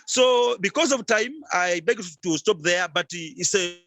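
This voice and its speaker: Passionate high-voiced man speaking as an activist. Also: measured pace, fairly bright tone, no echo. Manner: passionate, earnest. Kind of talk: impassioned advocacy talk.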